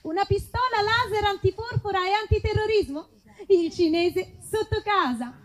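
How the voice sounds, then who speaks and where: A woman's voice: very high voice, moderate pace. A woman performing a mock advertisement in a live comic sketch.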